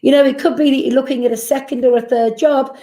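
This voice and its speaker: Woman pitching in an echoey room. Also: steady tone, fast talker, warm tone. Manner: persuasive, rhetorical questions. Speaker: business presenter. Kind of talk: sales presentation.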